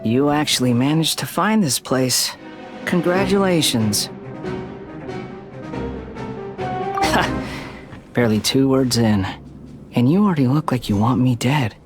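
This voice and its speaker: A man's voice: mid-range voice, lively intonation, slow, pauses often, music in the background. An actor playing an arrogant, cold young male villain in an anime-style video game, mocking and condescending in a theatrical way. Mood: smug taunting.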